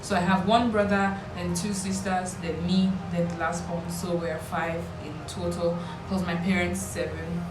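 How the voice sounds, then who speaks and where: Low-pitched young Nigerian woman in an echoey room. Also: flat delivery, a little slow, few pauses, background noise. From Lagos, Nigeria. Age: 20s. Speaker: vlogger. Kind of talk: casual chat to camera answering questions. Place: small indoor room with bare walls. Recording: roomy echo, distant mic, background music.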